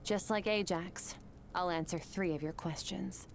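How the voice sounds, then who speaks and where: A woman's voice: fairly low pitch, measured pace, a little hiss or hum, natural voice. Skirk, a woman voicing a cool terse swordmaster. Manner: calm, terse, detached. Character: cool, composed female swordmaster from another world.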